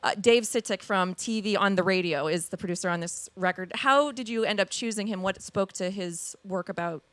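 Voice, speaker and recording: A woman's voice: medium pitch, moderate pace, fairly bright tone, clean tone, dry recording. A woman hosting a live event and interviewing guests on a small theater stage. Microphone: stage microphone.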